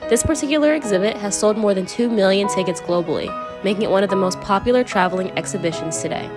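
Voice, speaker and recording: A woman reading a news voice-over in a brisk, scripted way. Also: mid-range voice, music in the background. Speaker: news reporter.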